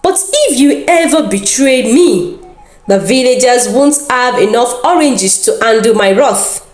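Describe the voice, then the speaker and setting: A woman's voice: a little high, expressive, unhurried, roomy sound, faint music behind. A woman voices a proud, powerful folktale goddess who speaks in firm, dramatic warnings. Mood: wary, threatening. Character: proud, powerful orange goddess, wary and threatening.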